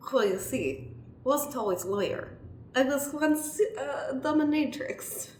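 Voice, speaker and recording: A woman's voice: sits high, lively intonation, slow, darkish tone. Woman playing a brash foreign lady lawyer, roomy echo. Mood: probing, assured. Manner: clipped broken English, drops articles. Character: brash ex-dominatrix woman, newly qualified lawyer, foreign-sounding. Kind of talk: improvised comedic radio play acting. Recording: roomy echo, clear background noise.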